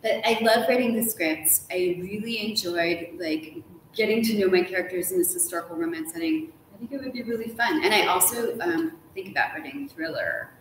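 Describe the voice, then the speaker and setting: A woman's voice: medium pitch, animated, a little fast, few pauses. A middle-aged woman chatting casually as an interview guest, gushing and talkative, often saying "like". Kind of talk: animated casual conversation. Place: large hotel room, indoors. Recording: roomy echo with background noise.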